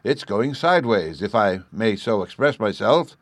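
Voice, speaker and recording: A man's voice: on the low side, animated, normal speed, no echo. A man voicing a jolly, absent-minded old professor who talks in a fussy, distracted way.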